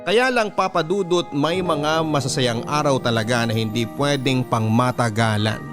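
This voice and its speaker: A man narrating a radio drama letter as a grieving adult looking back, in a measured, solemn storytelling manner. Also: medium pitch, natural voice. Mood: solemn, sorrowful. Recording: music bed clearly behind the voice.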